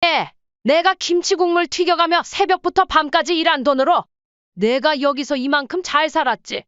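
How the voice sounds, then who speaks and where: Woman acting stern high-voiced older mother-in-law. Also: normal speed, fairly bright tone, no echo, highs cut off. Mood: furious scolding. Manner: scolding, firm, cutting. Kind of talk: audio drama story acting.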